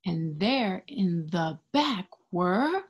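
Slow, expressive woman reading to children. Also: fairly low pitch, breathy edge. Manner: expressive, deliberate, child-directed teacher talk. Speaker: picture book reader for children. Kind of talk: read-aloud storytelling with simple commentary and questions for young children. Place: indoors in front of a green screen.

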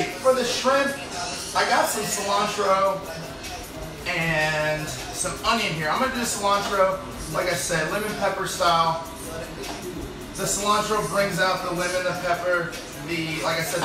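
A young man chatting casually as he hosts a home cooking video, laid-back and rambling, with jokey asides. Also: high voice. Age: young adult. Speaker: cooking show host, home chef. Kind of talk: casual chat while cooking. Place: home apartment kitchen. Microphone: phone on counter, a few steps away. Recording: roomy, echoey phone recording from far off.

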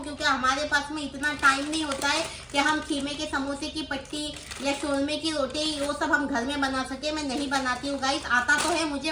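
A middle-aged woman with a Mumbai accent chatting casually as a vlogger, explaining things and checking in with little questions. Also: high-pitched. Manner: explanatory, tags phrases with questions. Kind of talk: casual grocery haul chat. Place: small room indoors, seated on floor. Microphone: phone held by someone nearby. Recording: roomy echo, faint background noise.

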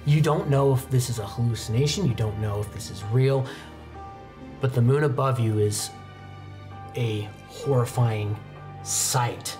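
A young man narrating a horror tabletop roleplaying game as game master, with deliberate, suspenseful descriptions and dramatic pauses. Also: medium pitch. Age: young adult. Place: indoor studio game set at a desk. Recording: music playing behind the voice. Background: background music.

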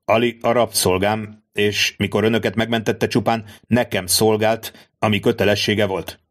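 A composed nobleman's voice, read by a man, calm and dignified with formal courtesy. Fairly low in pitch, steady. Sounds like a classic novel read aloud. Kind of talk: audiobook reading of a classic novel.

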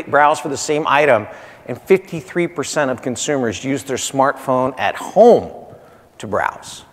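A man in his forties giving a conference keynote in punchy short phrases, repeating key points and checking in with "right?". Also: mid-range voice. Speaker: keynote speaker, marketing executive. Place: large hotel conference stage, indoors. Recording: reverberant conference hall.

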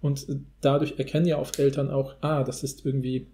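A man's voice: mid-range voice, flat delivery, fairly quick, warm tone. A man in a casual analytical podcast discussion, talking in a rambling, academic way.

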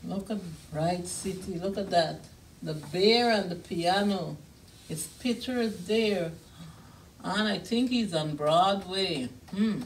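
A middle-aged woman reading a picture book aloud to young children in a deliberate, expressive way, pausing to comment on the pictures. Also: lowish voice, recorded from a distance, slight background noise, low-quality recording. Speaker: children's storyteller. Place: small indoor children's reading room.

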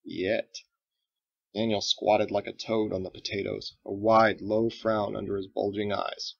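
A man reading his own fiction aloud as expressive, scripted audiobook narration. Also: on the low side, normal speed, gravelly, muffled recording.

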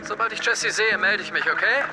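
A man's voice: high-pitched, fast talker, over loud music, lightly acted. A young man playing a cocky, evasive teenage skater in a German audio drama, with brash slangy talk. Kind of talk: naturalistic audio drama phone dialogue.

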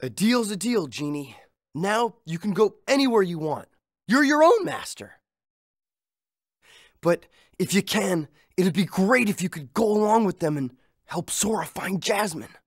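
An actor playing a brave, kind young street-urchin hero in a video game, youthful and heartfelt. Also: male voice, high voice, big pitch swings, measured pace, no echo, studio quality, exaggerated voice. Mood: subdued, sincere. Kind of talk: animated video game acting.

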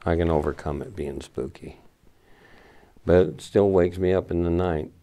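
Deep-voiced older man in a mine. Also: normal speed, dark tone. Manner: wry, self-deprecating, joking. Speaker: camera operator. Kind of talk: casual behind-the-scenes chat. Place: inside an abandoned mine tunnel.

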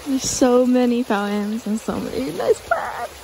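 A young woman chatting through a casual vlog, upbeat and full of filler words. Her voice sits a little high. She speaks into a phone held at arm's length. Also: animated, unhurried, background noise. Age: young adult. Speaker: vlogger. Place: outdoor flea market, later indoors at home.

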